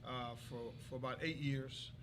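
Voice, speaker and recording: A man from Trinidad and Tobago, a pastor, talking as a guest in a polite, hesitant way with many pauses and fillers. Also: medium pitch, steady tone, background noise. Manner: polite, keeps saying yes sir, hesitant with many uhs. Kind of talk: interview guest.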